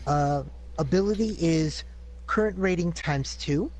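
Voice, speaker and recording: A man, a player, reading out rules step by step, methodical, with hesitant pauses. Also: a little high, darkish tone. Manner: methodical, plain explaining with hesitant "uh"s.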